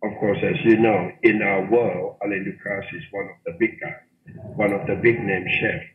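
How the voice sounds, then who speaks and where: A middle-aged man from Thailand, speaking in a lowish voice over a tinny phone line with a roomy echo. He talks as a hotel manager in an interview. He is animated and repeats words for emphasis. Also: moderate pace. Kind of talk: interview guest. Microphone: phone or call line.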